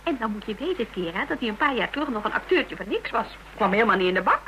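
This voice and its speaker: Bright, animated gossipy woman. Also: on the high side, fast. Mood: mocking, gossipy. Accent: Netherlands Dutch. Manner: theatrical, interrupting, informal, talkative. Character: chatty, gossipy, bossy, mocking woman of show business. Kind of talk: radio drama dialogue. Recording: noisy, hissy radio drama recording.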